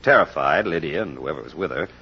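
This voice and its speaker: A man playing a sharp, commanding detective in an old-time radio mystery, with a deliberate, theatrical way of speaking. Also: very deep voice, expressive, gravelly, breathy edge, heavily acted. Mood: confident, analytical. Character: sharp, commanding male detective solving a murder. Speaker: actor in a radio crime drama. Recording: muffled, noisy old radio recording.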